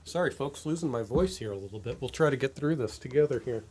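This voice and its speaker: A man's voice: mid-range voice, lively intonation, fast. A man hosting a live-stream guitar demo from a guitar shop, talking through each instrument in an upbeat sales patter. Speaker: guitar showcase host. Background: acoustic guitar playing.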